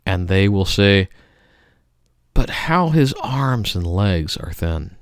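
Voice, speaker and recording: A man giving a melodramatic poetry reading, with dramatic pauses and emphatic swells that fall away to hushed line endings. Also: sits low, sing-song, no echo, clean studio sound.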